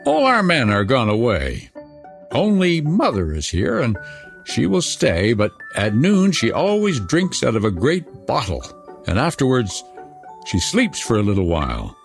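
A man's voice: mid-range voice, huge pitch swings, measured pace, vocal fry, faint music behind, full character voice. A man voicing a fierce, wild little robber girl who talks in a bossy, threatening way.

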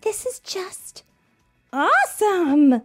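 A woman reading aloud and voicing an excitable young female police detective, eager and gushing. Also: high-pitched, unhurried, soft background music, pitched above the performer's own voice, exaggerated voice. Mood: excited.

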